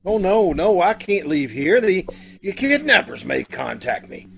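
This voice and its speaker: Man playing a high, swooping lovesick husband. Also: very animated, a little slow, gravelly, heavily acted. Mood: worried. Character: lovesick, fretful newlywed husband, gushing with endearments. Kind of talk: old-time radio comedy-mystery acting. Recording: faint background noise.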